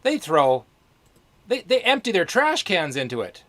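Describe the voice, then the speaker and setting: A chatty man with a slightly high voice co-hosts a casual live stream. He talks with firm emphasis and jokes along with his partner. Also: huge pitch swings, unhurried, pushed and bright. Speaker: co-host and workamper.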